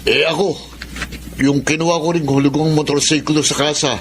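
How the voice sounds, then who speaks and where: Casting: male actor as whining Filipino younger brother, fairly high voice, complaining melodrama style, faint noise. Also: steady tone, fairly quick, natural voice. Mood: disgruntled. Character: disgruntled, whining younger brother worried about payments.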